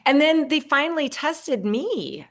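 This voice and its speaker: A woman's voice: high voice, very animated, measured pace, fairly bright tone. A middle-aged woman talking earnestly in a casual podcast conversation, often restarting her sentences. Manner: earnest, talks in affirmations, frequent restarts. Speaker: podcast guest and host of her own show.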